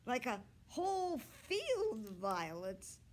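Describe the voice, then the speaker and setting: A woman's voice: a little high, very animated, slow, voice pushed up, heavily acted. A woman voicing a cheerful father in a children's storybook, hearty and sing-song, drawing out his words. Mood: amused. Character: cheerful father of girls, singing and calling out.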